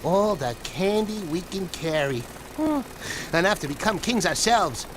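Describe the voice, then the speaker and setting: Game villain hornet: smug, folksy, high-pitched, swooping taunts, noisy engine bed behind. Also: male voice, animated, unhurried. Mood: boastful. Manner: taunting, drawling, mock-polite. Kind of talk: animated game villain acting.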